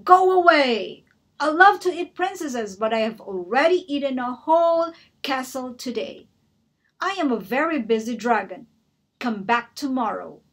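A woman voicing a vain, boastful male dragon in a storybook reading, loud and dismissive. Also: a little high, animated, a little slow, creaky, husky, full character voice. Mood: annoyed.

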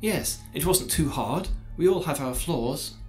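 A man playing a formal, guarded college teacher in an audio drama, choosing measured words. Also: on the high side, expressive, a little mellow. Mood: defensive. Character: male college science teacher, defensive, formal, secretive adulterer. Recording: music behind the voice.

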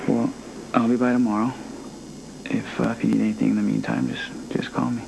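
An actor playing a polite, caring young man in a daytime soap, talking earnestly and stammering gently. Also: medium pitch, little pitch movement, a little fast, creaky. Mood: gentle. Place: indoor studio set, hospital and living room. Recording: noisy old TV recording.

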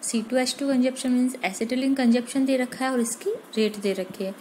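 High-voiced Indian woman teaching. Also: steady tone, takes it slowly, darkish tone, slight background noise. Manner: didactic, step by step, with frequent rhetorical questions. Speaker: polytechnic lecturer. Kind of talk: explanatory lesson mixing Hindi with English technical terms.

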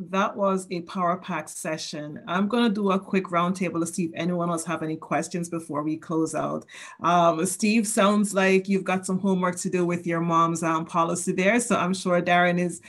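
Flat-voiced woman host wrapping up. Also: fairly low pitch. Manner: upbeat, brisk moderating. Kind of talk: moderating video call.

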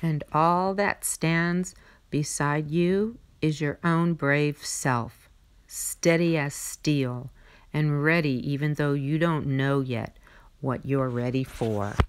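A woman with a low voice reads a children's picture book aloud. Her delivery is measured and expressive, shaped like storytelling for young listeners. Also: smooth. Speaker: read-aloud narrator.

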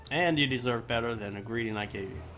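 A man doing a live let's play, reading quest dialogue aloud haltingly and often restarting his phrases. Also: mid-range voice, a bit bright. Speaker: game streamer. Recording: music behind the voice. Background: game music.

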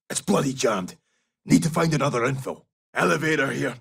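An actor playing a gruff, brash Scottish special forces soldier in a military shooter game, giving clipped, urgent tactical callouts in a rough, gravelly voice. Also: male voice, medium pitch, expressive, moderate pace, far from the mic, full character voice. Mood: alert, clipped.

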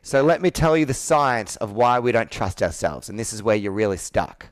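Male sales coach, 30s, smooth voice, dry close sound, pushes with probing questions. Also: on the low side, moderate pace, no room sound. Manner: assertive, probing, rhetorical questions. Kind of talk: persuasive coaching on a sales call. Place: indoor office with a flip chart, on a video call.

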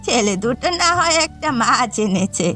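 High-voiced indulgent elderly village mother played by a woman. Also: lively intonation, normal speed, fairly bright tone. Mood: gently chiding. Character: elderly village mother-in-law, indulgent toward her grown sons. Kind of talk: cartoon dialogue acting. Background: background music.

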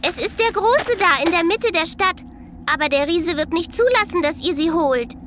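A woman's voice: extremely high pitch, lively intonation, moderate pace, background noise. A woman playing a timid, trusting, childlike little girl with a plaintive manner in a horror audio drama. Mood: solemn.

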